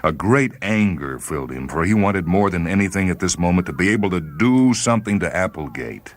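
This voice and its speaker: A man reading a short story as dramatic narration, grave and measured, with theatrical emphasis. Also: sits low, lively intonation. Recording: faint noise and ambient sound bed. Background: faint ambient sound.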